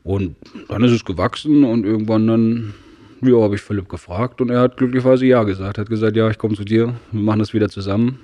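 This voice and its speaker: A man's voice: on the low side, steady tone, brisk, dark tone. A man from Saxony in his forties, guest on a casual podcast, telling stories in an easygoing way. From Saxony, Germany. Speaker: company owner, guest.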